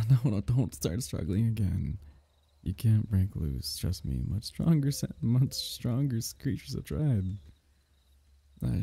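A man voicing a possessive, soothing alien symbiote in an ASMR roleplay, speaking in a soft, coaxing, intimate way with breathy drops into whisper. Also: lowish voice, heavily acted. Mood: gently hushing. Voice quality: husky, breathy, often dropping into whisper. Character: possessive, soothing alien symbiote, male, semi-liquid creature.

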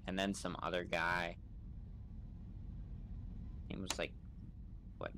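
A man's voice: deep voice, flat delivery, takes it slowly. A young man chatting casually in character as a bar customer in improvised game role-play over voice chat. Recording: faint background noise on game voice chat.

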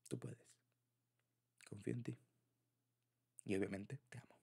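Mexican guy doing asmr boyfriend talk, creaky voice, kinda rambly and sweet, very casual. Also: on the low side, expressive, takes it slowly, warm tone. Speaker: ASMR roleplay creator playing a boyfriend. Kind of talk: intimate ASMR boyfriend roleplay, casual and personal.

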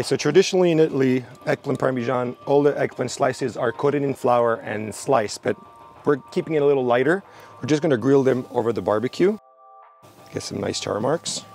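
A young man cooking outdoors at a barbecue, relaxed and explaining each step as he works. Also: medium pitch, vocal fry. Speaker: cooking show chef. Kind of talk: cooking demonstration. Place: outdoor garden by a barbecue grill.

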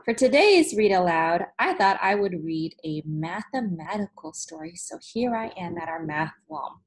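A woman in her thirties, a teacher reading a storybook aloud to children with deliberate phrasing and expressive emphasis. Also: on the low side. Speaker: elementary school teacher. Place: small indoor room with whiteboard.

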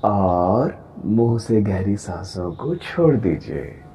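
A man leading a guided meditation in a calm, gentle, deliberate way, with long pauses between phrases. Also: fairly low pitch, a little hiss or hum. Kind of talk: guided meditation, slow soothing instruction. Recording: voice over background music. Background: meditation music.

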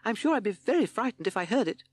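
Nervous little storybook toad, stammery, really high and swoopy. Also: male voice, big pitch swings, fast, husky, full character voice. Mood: relieved. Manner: stammering, jittery. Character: timid stammering male toad, nervous and easily scared.

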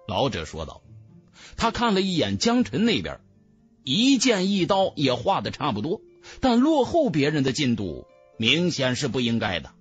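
A man's voice: medium pitch, huge pitch swings, normal speed, husky, dull audio, faint music behind. A man narrating a fantasy novel as a scripted audiobook, with emphatic, dramatic storytelling.

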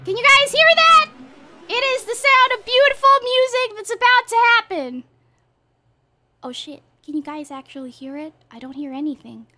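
A woman's voice: lively intonation, moderate pace, noisy background. A woman voicing a crude, brash cartoon horse who rambles and demands applause during a streamed karaoke session. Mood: hyped. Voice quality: squeaky and shrill. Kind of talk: livestream karaoke banter.

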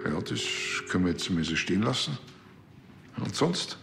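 An actor playing a blunt, grumbling small-town police officer with a colloquial Bavarian accent in a TV crime comedy. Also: male voice, deep voice, big pitch swings, normal speed, creaky, heavily acted. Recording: echoey rooms with faint music.

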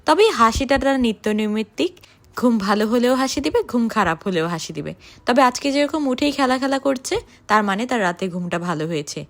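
A woman narrating a family vlog in a chatty voice-over, speaking directly to viewers. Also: fairly high pitch.

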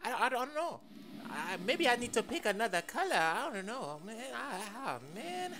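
A woman voicing a whiny, sassy crewmate in an animated game parody. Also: a little high, normal speed. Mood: glum, puzzled.

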